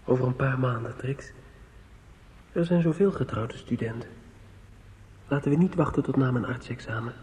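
A man's voice: mid-range voice, normal speed, long pauses, warm tone, breathy edge. An actor playing a lovesick, brooding young medical student in a Dutch radio play, earnest and poetic in his pleading. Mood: urgent pleading. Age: young adult. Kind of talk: Dutch radio drama acting. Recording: radio play with music behind.

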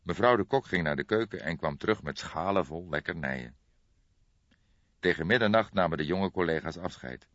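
Deep-voiced man narrating a detective novel. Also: animated, fairly bright tone. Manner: measured, dramatic storytelling. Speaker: audiobook narrator. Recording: boxy, echoey, dull recording.

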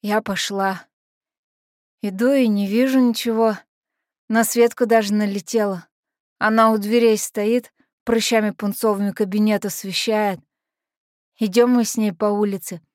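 A woman's voice: medium pitch, steady tone, measured pace, long pauses, a little mellow, dry recording, natural voice. Casting: adult woman as tough but naive lovestruck orphan teen girl, first-person dramatic monologue, colloquial street slang, confiding delivery, dry studio sound. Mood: nervous, smitten. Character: brash lovestruck teenage orphanage girl telling her story.

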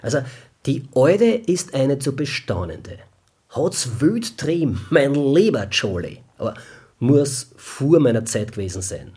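Heiserer, lässiger österreichischer Musiker. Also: male voice, mid-range voice, sing-song, unhurried, darkish tone, slightly rough, exaggerated voice. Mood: flippant. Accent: Austrian. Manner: drawling, flippant, oddly stilted phrasing. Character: cocky, slangy tattooed male band musician. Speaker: actor in a radio crime drama. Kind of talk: colloquial radio play dialogue.

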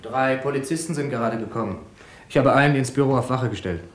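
An actor playing a deferential, chatty police assistant in a 1970s radio crime drama, matter-of-fact and good-humoured. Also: male voice, medium pitch, quick pace, natural voice. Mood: businesslike.

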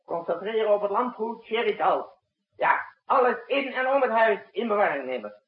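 A muffled 1960s radio play recording of a commanding head of state. His voice is husky and a little high for a man. He hands out curt, brisk orders with complete authority. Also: animated. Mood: urgent. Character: commanding, brisk male president directing a night-time military crisis. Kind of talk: 1960s Dutch radio drama acting.

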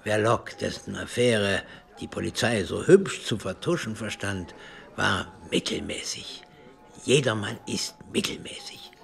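A man's voice: on the low side, a little slow, vocal fry, fairly bright tone, soft background music. A man playing a contemptuous, bitter anarchist professor in a radio play, declaiming with scorn and hissed emphasis. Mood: scornful zeal. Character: contemptuous anarchist professor, male, bitter zealot preaching destruction.

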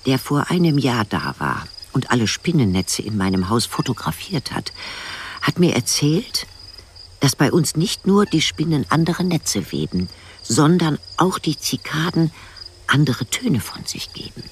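An old village woman narrating a radio drama. Her deep voice often drops to a breathy hush, yet the melody moves a lot. She is dry, blunt and caring, over faint outdoor insect sounds. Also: big pitch swings, measured pace, a little hiss or hum, full character voice. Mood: reflective. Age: older. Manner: matter-of-fact, dryly blunt. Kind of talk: radio drama first-person narration and dialogue.

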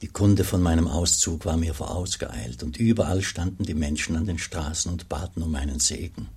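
A pious old monk in a radio play, grave and humble. The voice is extremely deep, with gravel and heavy breath in it. He speaks in a solemn, measured way, full of quiet yearning. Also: exaggerated voice. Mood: solemn, tender. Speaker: actor and first-person narrator. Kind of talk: German radio play acting.

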